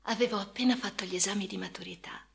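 An actress playing a troubled, defiant young woman in a radio monologue, recounting her life with an intense delivery that moves between hushed and agitated. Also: medium pitch, fast talker, creaky, close to an everyday voice. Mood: bitter, defiant. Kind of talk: dramatic first-person radio monologue. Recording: music under the voice. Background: music.

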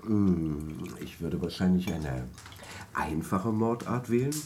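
Lively, sly, charming husband. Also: male voice, low voice, slow, slight background noise. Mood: curious, charming. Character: smooth-talking, evasive husband with a sly charm. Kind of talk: German radio drama acting.

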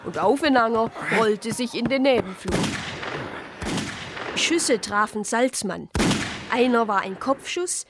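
An actress playing a composed Protestant deaconess, narrating and speaking in a radio crime drama. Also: female voice, a little high, animated, slow pace, long pauses, heavy background noise. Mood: sober narrating. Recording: music behind the voice.

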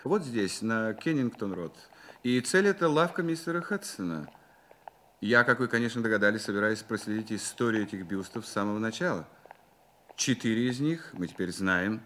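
An actor playing a confident, courteous detective in a Russian radio drama, questioning politely and deliberately. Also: male voice, lowish voice, a bit bright, slight background noise, natural voice. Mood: assured, brisk. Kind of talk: Russian radio play acting.

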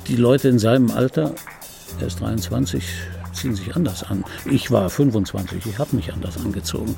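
An actor playing an ageing, wry and obsessive dubbing artist in an intimate radio drama monologue, speaking deliberately with pauses and repeated phrases in a gravelly, husky voice. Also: male voice, medium pitch, music in the background. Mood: wry, reminiscing. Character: ageing male dubbing actor recalling his screen star, wry, obsessive. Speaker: narrator of a radio play.

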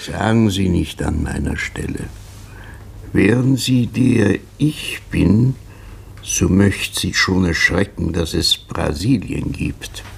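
A sorrowful tavern drinker from an old radio play talks in a very deep, gravelly voice. He speaks slowly, gloomily and bitterly. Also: lively intonation, a little hiss or hum, full character voice. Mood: gloomy. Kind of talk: 1960s radio drama acting.

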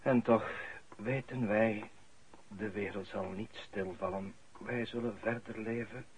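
Man playing solemn male canon, low slow voice, fatherly, preacherly phrasing, radio drama. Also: fairly even intonation, natural voice. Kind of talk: Dutch radio drama acting. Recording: noisy old radio drama recording.

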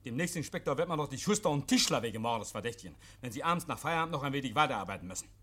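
An actor playing a nervous, guilty man in a 1950s radio play, feigning jovial innocence, stammering and repeating himself, hushed then agitated. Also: mid-range voice, animated, very fast, husky. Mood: indignant. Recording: vintage 1950s radio recording.

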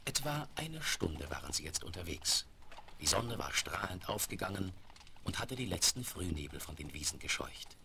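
A man's voice: sits low, big pitch swings, moderate pace, vocal fry, sharp tone, heavily acted. A man playing the composed narrator of a children's tale, reading scripted storybook narration. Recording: noisy vinyl record transfer with clicks.

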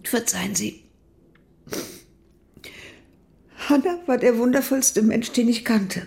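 Creaky, mellow, guarded sick woman. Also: a little high, normal speed. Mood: grieving. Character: gravely ill East German woman, guarded and grieving. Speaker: actress. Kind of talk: German radio drama dialogue.

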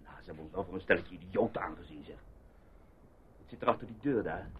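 Actor as a low-voiced, sardonic husband. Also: male voice, fast talker, close to an everyday voice. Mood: exasperated. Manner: sardonic, grumbling. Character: irritable, sarcastic husband fed up with a filthy holiday house. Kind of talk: radio drama acting. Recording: muffled, faintly noisy radio play recording.

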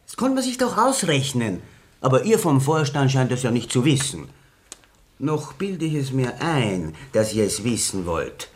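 An actor playing a combative, bitter Marxist party rebel in a radio play, with a blunt, sarcastic way of talking. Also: male voice, mid-range voice, sing-song, measured pace. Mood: bitter, scornful. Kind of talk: German-language radio drama acting.

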